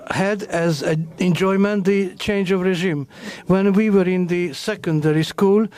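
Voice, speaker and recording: A man addresses a rally crowd, his voice sitting high for a man. He speaks haltingly and keeps restarting and correcting his phrasing as he builds his political points. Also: a little mellow. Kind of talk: formal speech to an audience.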